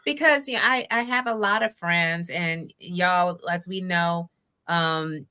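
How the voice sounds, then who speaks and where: Bright-voiced woman talk show host, low pitch. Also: takes it slowly, smooth. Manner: chatty, addresses viewers as y'all. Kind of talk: conversational interviewing. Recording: live online stream.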